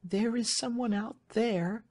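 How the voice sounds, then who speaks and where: A man voicing an earnest, agitated young lady in an audiobook, pleading with urgent, hushed entreaty. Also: sits high, slow pace, pitched above the performer's own voice, full character voice.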